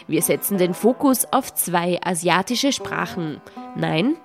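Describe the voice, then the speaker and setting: A woman's voice: fairly low pitch, expressive, a little slow, creaky. A woman hosting a radio show, reading a scripted introduction and teasing listeners with questions. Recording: music bed behind the voice.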